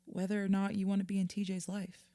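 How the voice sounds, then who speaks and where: Audio drama: actress as earnest female therapist, mellow tone, measured and reassuring, very dry studio-close sound. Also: medium pitch, monotone, dry recording, natural voice. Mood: firm, encouraging. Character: patient, earnest female therapist counselling a client. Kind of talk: naturalistic audio drama acting.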